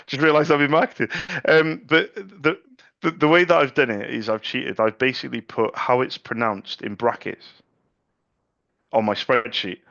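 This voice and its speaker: A man's voice: medium pitch, creaky, bright, forceful tone, no room sound, dull audio. A middle-aged man, a salesman talking casually on a sales call, chatty and repeating words as he restarts his sentences.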